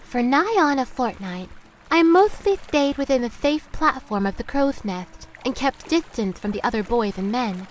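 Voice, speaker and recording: A woman acts a young seafaring woman telling her tale in an audio drama. Her voice is fairly high, with measured, old-fashioned phrasing. Faint noise of water sits behind her. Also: animated, lightly acted, low-quality recording. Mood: reflective, wry. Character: young woman sailor recounting her seafaring past disguised as boy. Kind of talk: first-person storytelling in audio drama.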